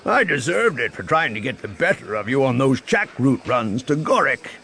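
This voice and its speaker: A man acts out a massive alien crime lord from a space adventure audiobook. The baritone is resonant and courtly, full of smug amusement, and it swings grandly through each elaborate phrase. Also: big pitch swings, normal speed, bright, forceful tone. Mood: jovial.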